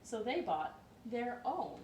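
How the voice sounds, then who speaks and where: A woman hosting a children's storytime from her living room, telling the story in a playful, expressive and emphatic way. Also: on the high side, takes it slowly. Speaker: storytime host. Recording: roomy echo, distant mic, light noise.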